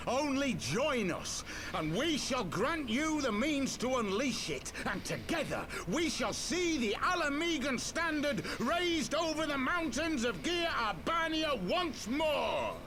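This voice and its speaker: An actor playing a proud, vengeful rebel leader who rouses followers with grandiose oratory in a game cutscene. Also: male voice, very high voice, sing-song, slow, background noise, exaggerated voice. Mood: rousing, vengeful. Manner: grandiose, declamatory.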